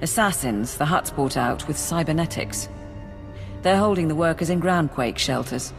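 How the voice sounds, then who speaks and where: Wry Imperial aide, music behind. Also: female voice, low-pitched, lively intonation, normal speed. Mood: businesslike. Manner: businesslike, wry. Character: brisk, dry-witted Imperial military aide. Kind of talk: video game briefing dialogue.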